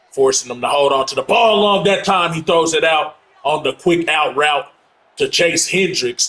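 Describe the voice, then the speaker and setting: A man's voice: mid-range voice, low-quality recording. A man doing casual play-by-play of his own football video game, his voice congested from allergies. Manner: casual play-by-play, gets loud and excited on big plays. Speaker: gamer playing through a football game. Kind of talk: play-by-play commentary on own gameplay.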